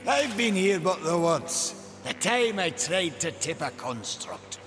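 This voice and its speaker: A sheepish, mischievous young villager in a fantasy video game. The voice is high and bouncy, confiding and animated, with long reverb and music behind it. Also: male voice, slow pace, heavily acted.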